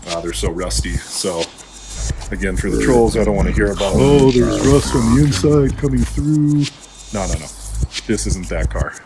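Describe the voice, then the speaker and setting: A man's voice: lowish voice, animated, normal speed. An American man giving a casual, colloquial, opinionated walkaround as a car restorer. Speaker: car restorer presenting a find. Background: music.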